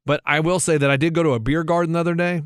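A man with a fairly high voice hosts a casual comedy sports podcast. He is excitable, circles back over his phrases and acts out both sides of conversations. The sound is close and very dry. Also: racing, clean tone, no echo. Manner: excitable, repeats himself, acts out dialogue. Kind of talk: casual comic banter and storytelling.

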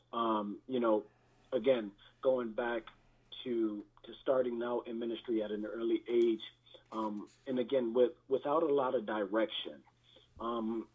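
A man speaking as a guest pastor, giving a candid personal testimony in a halting, reflective manner with repeated words, through thin band-limited audio. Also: on the low side, slightly rough, phone-quality sound.

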